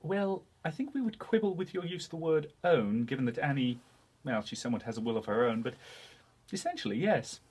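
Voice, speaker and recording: A man's voice: medium pitch, very animated, brisk, darkish tone, slightly rough. A man acting a courteous, wry demon fighter in an audio story, speaking in polite understatement. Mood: wry, amused.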